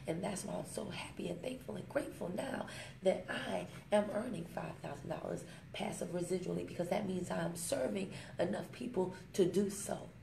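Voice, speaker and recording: A woman talks straight to her phone camera in a motivational vlog, pressing each point home and slowing down on the lines she wants to land. Her voice is mellow and dark, with a gravelly fry at the ends of phrases. The room echoes noticeably, with a faint hum of ambience underneath. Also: on the low side. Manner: emphatic, preachy, fond of rhymes, slows down to stress points. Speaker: network marketing vlogger. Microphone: smartphone.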